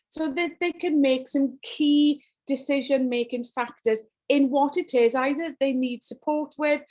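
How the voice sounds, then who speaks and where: High-voiced enthusiastic woman. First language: Welsh. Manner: enthusiastic, rhetorical questions, animated emphasis. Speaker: guest speaker to educators. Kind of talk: online teaching presentation. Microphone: video call.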